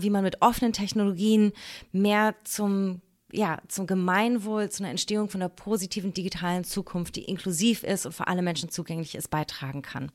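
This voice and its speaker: A woman from Germany, raised bilingual in English and German, speaking as a podcast guest in a measured, thoughtful way with some hesitations. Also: medium pitch. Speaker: podcast guest, political scientist. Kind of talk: explanatory interview talk.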